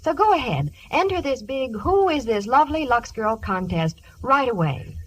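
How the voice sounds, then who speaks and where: A woman's voice: fairly high pitch, sing-song, moderate pace, a bit bright, slightly rough. A woman with an American accent reading a scripted commercial as an announcer on a vintage 1950s radio broadcast.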